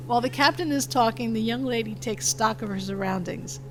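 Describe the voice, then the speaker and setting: A woman's voice: fairly high pitch, brisk. Woman narrating a script, faint noise. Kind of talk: scripted narration, reading stage directions.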